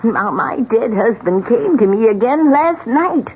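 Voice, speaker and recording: Ominous old widow, slow and grave. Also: female voice, fairly high pitch, expressive. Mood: ominous warning. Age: older. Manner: grave, dramatic, slow pronouncements. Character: old arthritic widow, ominous, insistent, haunted by warnings. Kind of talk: old-time radio drama acting. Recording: dull, noisy old radio recording.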